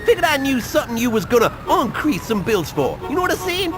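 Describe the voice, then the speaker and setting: An actor playing a jittery streetwise informant in a video game, slangy and excitable, over noisy street ambience. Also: male voice, very high-pitched, fast, over music. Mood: indignant. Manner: slangy, excitable, repeats verbal tags. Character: jittery, jive-talking streetwise male informant.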